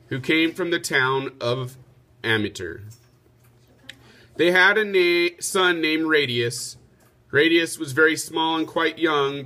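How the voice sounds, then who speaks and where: A man reads a children's story aloud as a teacher would. His voice is bright and forceful, set at a middling pitch.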